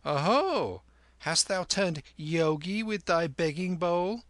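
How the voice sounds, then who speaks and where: A bold little street boy, high and quick-witted, as a man reads him. He chirps with eager, lively swings in his voice, close and dry with no room around it. Storybook reading. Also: dry recording, exaggerated voice.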